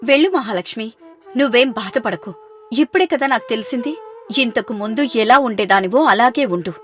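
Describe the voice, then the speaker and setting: A woman voicing a kind, reassuring young sister-in-law in an animated family story, with music behind. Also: fairly high pitch, moderate pace, close to an everyday voice.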